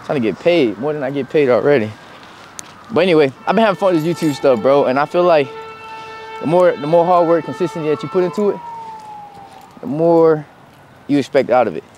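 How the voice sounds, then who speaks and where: A man's voice: a little high, brisk, pauses often, slight background noise. Laid-back young man vlogging on the move. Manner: relaxed, slangy, addresses viewers directly. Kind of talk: casual vlog chat. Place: outdoors in parking lots and inside a big store.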